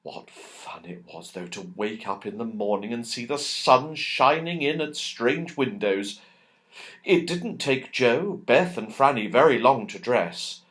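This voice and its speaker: A man's voice: mid-range voice, expressive, measured pace, a bit bright. A man narrating a children's storybook expressively, drawing out key words for emphasis. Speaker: audiobook narrator.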